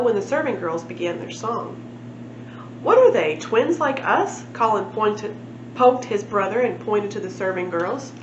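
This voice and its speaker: A woman reading a children's storybook aloud as a library storytime narrator. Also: mid-range voice. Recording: echoey room, muffled, clear background noise.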